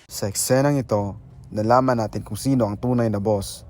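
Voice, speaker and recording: A man's voice: fairly low pitch, fairly even intonation, normal speed, darkish tone. A man with a Filipino accent reading scripted explanatory narration. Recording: faint background noise.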